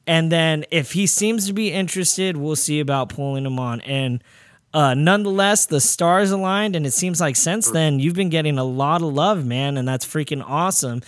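A male podcast host with a fairly high-pitched voice, recorded dry with little room sound. He chats in slang, excitable and informal, bantering through a casual interview. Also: normal speed, no room sound.

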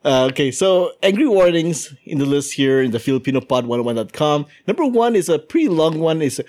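A man's voice: on the high side, very animated, fairly quick, a little mellow. A man hosting a casual podcast chat, whose first language is Indonesian, reading items off a list and laughing often. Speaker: podcast host.

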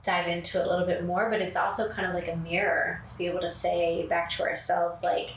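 A woman hosting a podcast in a casual chat, with a soothing, even cadence and many fillers. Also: on the low side, a little fast. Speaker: podcast host. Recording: roomy, distant mic, faint noise.

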